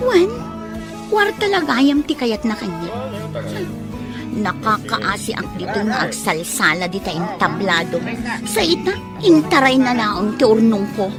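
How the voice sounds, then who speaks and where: A woman playing a giggly, smitten young woman in a Filipino radio drama, with an expressive, teasing delivery and a Filipino accent. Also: mid-range voice, unhurried. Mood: concerned. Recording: radio drama with music underneath.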